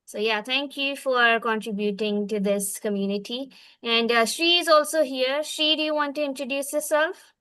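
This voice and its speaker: A woman moderates an online get-together as its host. Her voice is smooth and clear, pitched a little high, and comes through dry with no room sound. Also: moderate pace, few pauses, fairly bright tone, dry recording. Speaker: host of an online cultural exchange. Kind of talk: informal moderating. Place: online video call.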